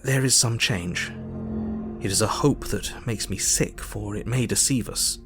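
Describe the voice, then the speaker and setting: An actor playing a sober Victorian doctor who narrates his diary in an audio drama, measured and formal. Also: lowish voice, over music, lightly acted. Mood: worried.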